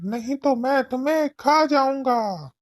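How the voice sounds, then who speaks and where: A woman's voice: high voice, a little slow, dry recording, clean studio sound, voice pushed up. A woman voicing a menacing, impatient male ghost from a lamp in a cartoon story, demanding and threatening. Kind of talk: cartoon story character acting.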